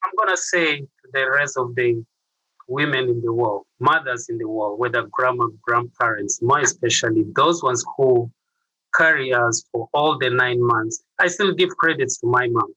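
A man's voice: mid-range voice, normal speed, sharp tone, dry recording, poor audio. A middle-aged man with a Ugandan accent, telling his story earnestly as an interview guest. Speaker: interview guest, youth mentor.

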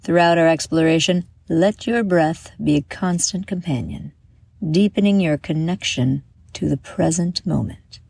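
A woman guiding a meditation from a script in a soothing, measured manner, with breathy exhaled phrases. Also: low-pitched, a little mellow, no room sound. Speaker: meditation guide and host. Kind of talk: guided meditation, scripted narration.

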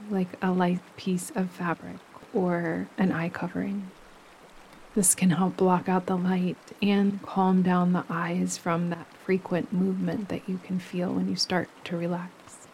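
A woman leading a guided relaxation meditation as a yoga nidra teacher, speaking gently and soothingly, with pauses between phrases. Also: on the low side, slight background noise, poor audio. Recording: steady running stream water behind voice. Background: gentle running stream sounds.

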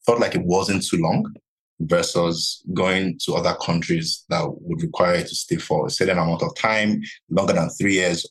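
A deep-voiced man from Nigeria speaks as a podcast guest, answering steadily and calmly. His tone is low and slightly husky, and he leans on "you know" often as he explains. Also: rough recording. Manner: measured, many "you know" fillers. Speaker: podcast guest, real estate investor. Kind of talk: conversational interview answers.

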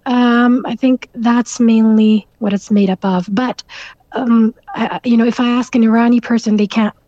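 A young woman, raised in Canada and speaking Urdu as her first language, answers interview questions with a slightly high, mellow voice. She pauses often and fills gaps with soft ums and uhs. The sound is dry and a bit muffled, like lo-fi remote audio. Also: fairly even intonation, no room sound. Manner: thoughtful, many fillers, soft laughs. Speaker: guest author.

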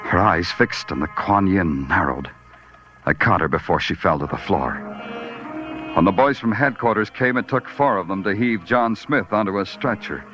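An actor playing a world-weary, poetic New York police detective in a 1940s radio drama, clipped and brooding. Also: male voice, deep voice, sharp tone. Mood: brooding, grim. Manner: brooding, clipped, deliberate delivery. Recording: dull vintage radio recording, organ-style music behind.

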